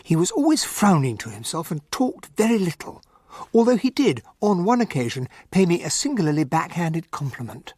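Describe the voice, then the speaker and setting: An actor with a British accent playing a polite, wry gentleman who narrates a detective radio play as the sidekick. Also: sits high, sing-song, moderate pace, breathy edge, no echo, clean studio sound, heavily acted. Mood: wry, storytelling.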